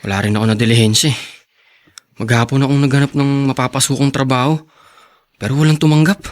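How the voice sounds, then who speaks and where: A man's voice: mid-range voice, fairly even intonation, fast talker, close to an everyday voice. An actor playing a poor, earnest teenage boy in an audio drama, sincere and plain-spoken, devoted to his love. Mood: weary, caring. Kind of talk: Tagalog audio drama acting.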